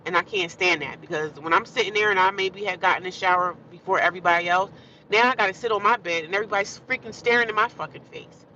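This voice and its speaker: A woman talks into her phone from behind the wheel, blunt and rambling, looping back over the same points as she vents to her viewers. Her voice is bright and tense, and the sound is muffled. A faint hum of road noise runs underneath. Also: mid-range voice, fast. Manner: blunt, rambling, talkative and repetitive. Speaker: livestreaming vlogger. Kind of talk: casual venting livestream. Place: in a car while driving.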